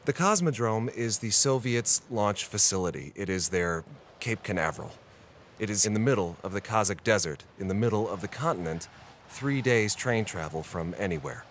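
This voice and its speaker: A man's voice: lowish voice, lively intonation, moderate pace, slight background noise, natural voice. A man with an American accent playing an earnest, frustrated 1960s reporter who narrates a brooding audio diary in a radio drama. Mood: matter-of-fact, frustrated. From United States.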